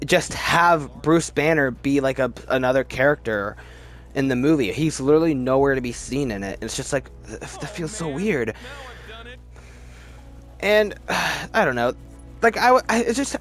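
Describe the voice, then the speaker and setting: Animated man ranking movies casually. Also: on the high side. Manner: animated, rambling, halting with repeated words. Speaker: host of a movie ranking video. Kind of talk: casual opinionated commentary. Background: background music.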